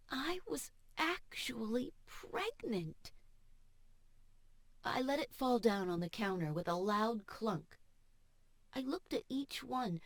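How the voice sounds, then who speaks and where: A woman audiobook narrator reading first-person fiction in an expressive, emotive and deliberate way. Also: fairly high pitch, no room sound. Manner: emotive, measured, deliberate delivery. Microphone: studio voice-over microphone.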